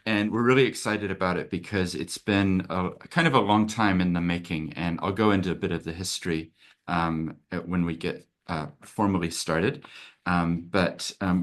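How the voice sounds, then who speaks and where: A man's voice: sits low, fairly bright tone. A middle-aged man from America, a lawyer hosting a webinar over a video call, talking in an earnest, open, conversational way.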